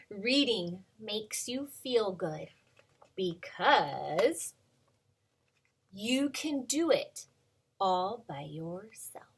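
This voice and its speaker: Slow, breathy preschool teacher reading aloud. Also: female voice, mid-range voice, lively intonation. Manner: gentle, slow, sing-song read-aloud with many ums. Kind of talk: reading a picture book aloud to children.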